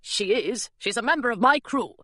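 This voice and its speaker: A woman's voice: on the high side, expressive, moderate pace, a bit bright, no echo, clean studio sound, pitched above the performer's own voice. A woman voicing a cheerful young male pirate with a breezy way of talking.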